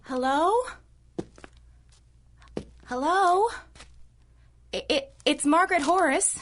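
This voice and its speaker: A woman's voice: high voice, takes it slowly, fairly bright tone. A woman playing an anxious, flustered woman seeking a hero's help, stammering and hesitant, in old-time radio adventure style. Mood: nervous, frightened.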